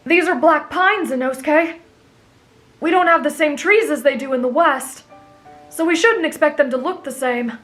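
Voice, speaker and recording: A woman acts out a kind-hearted teenage anime boy with a high voice. He is polite and earnest, explaining things gently and patiently, with faint music behind him. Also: moderate pace. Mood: gentle, patient. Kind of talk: anime fan roleplay audio drama.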